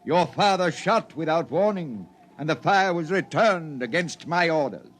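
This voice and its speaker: Old preaching Martian elder. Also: male voice, fairly high pitch, lively intonation, a bit bright. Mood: grave. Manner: slow, preaching, scriptural. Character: old solemn male Martian elder leading his people. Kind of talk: 1950s radio drama acting.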